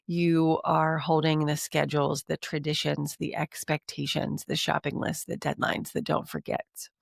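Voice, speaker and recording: A woman's voice: sits low, level tone, a little slow, creaky, no room sound, studio quality. A woman hosting a coaching podcast, talking earnestly through a cold, with a congested voice. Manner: earnest, encouraging, repeats lists for emphasis. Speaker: podcast host. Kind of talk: coaching monologue.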